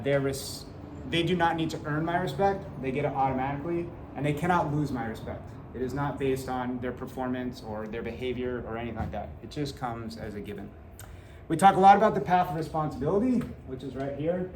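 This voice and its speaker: A man's voice: mid-range voice, darkish tone, a little hiss or hum. Male teacher, 40s, earnest parent-night talk with fillers; echoey classroom, camera mic. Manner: earnest, gestures a lot, many "uh" fillers. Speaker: seventh grade teacher. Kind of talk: back-to-school talk to parents. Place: classroom in front of a whiteboard. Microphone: fixed camera at a few meters.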